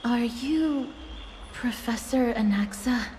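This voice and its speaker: A woman playing a gentle, polite and dutiful young warrior in a video game, speaking formally and earnestly. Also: a little high, slow, slight background noise. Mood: earnest, measured. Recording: game music behind the voice.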